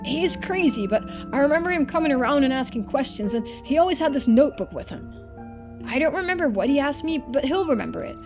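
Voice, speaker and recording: A woman voicing a confused, distressed young boy in a mystery game, halting and pleading as he talks. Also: high-pitched, sing-song, music in the background. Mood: desperate.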